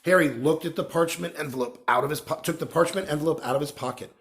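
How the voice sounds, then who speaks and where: A man's voice: on the high side, quick pace, a bit bright. A man reading a children's novel aloud as a narrator. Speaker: story reader.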